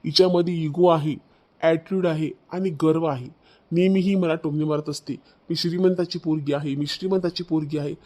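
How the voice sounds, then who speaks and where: A man's voice: fairly high pitch, steady tone, moderate pace, darkish tone, close to an everyday voice. A man voicing an aggrieved, proud young husband in a cartoon family drama, accusing and ranting, with a Vidarbha Marathi accent. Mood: indignant. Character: aggrieved, proud young husband complaining about his wife.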